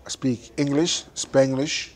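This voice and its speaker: A man's voice: medium pitch, level tone, slow pace, slight background noise. A young adult man, a boxer, talking animatedly and emphatically in a casual interview, laughing often.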